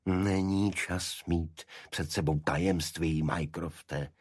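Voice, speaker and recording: A narrator, a man, gives us a gentleman detective from an audiobook mystery, brisk and incisive. His voice is low and husky, always probing for the next answer, in a dry, close sound. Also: steady tone, no room sound. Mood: sharp.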